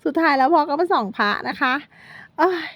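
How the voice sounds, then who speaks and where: A woman's voice: sits high, expressive, brisk, no echo, muffled recording. A middle-aged woman narrating a day trip in a chatty vlog, talking straight to her viewers and joking as she corrects herself. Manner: chatty, addresses viewers constantly, playful self-corrections. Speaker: vlogger.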